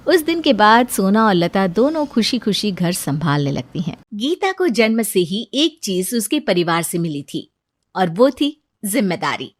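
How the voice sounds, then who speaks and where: A woman reading scripted narration for a moral story as its storyteller. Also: fairly low pitch.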